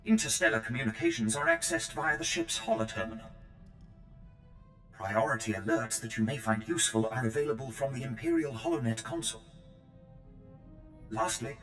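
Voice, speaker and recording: A fussy, eager-to-please robot servant from a video game. The voice is fairly low but bright and very lively in pitch. He is prim and obsequious, heard with a roomy echo. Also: normal speed. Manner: prim, obsequious, formal, over-polite. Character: fussy, servile, nervously eager male protocol droid servant. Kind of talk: scripted game character acting. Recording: roomy echo, long reverb, faint music.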